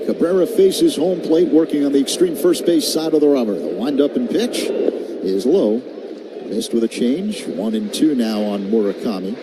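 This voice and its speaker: A man's voice: medium pitch, big pitch swings, normal speed. An American man calling a live baseball game on the radio as play-by-play announcer, with ballpark ambience behind him. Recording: outdoor ballpark broadcast with clear ambient noise. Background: outdoor stadium ambience.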